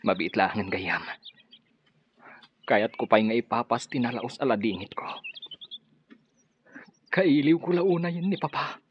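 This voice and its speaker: An actor with a Filipino accent playing an earnest, grieving son bent on revenge in a radio drama. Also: male voice, medium pitch, expressive, unhurried, long pauses, lo-fi sound, slight background noise, lightly acted. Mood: grieving, vowing. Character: vengeful grieving son hunting his father's killers.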